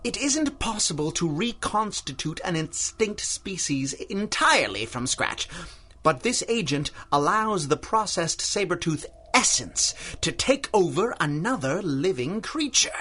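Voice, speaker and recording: An obsessed, theatrical older mad scientist. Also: male voice, sits high, big pitch swings, measured pace, sharp tone, full character voice. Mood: intense, lecturing. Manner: formal, theatrical, patronizing. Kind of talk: 1930s-style radio drama acting.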